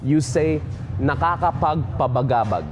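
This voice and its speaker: A man's voice: on the high side, measured pace, dull audio, music in the background. A man with a Filipino accent, from the Philippines, playfully teaching local words on stage, coaching and laughing often. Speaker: language segment host.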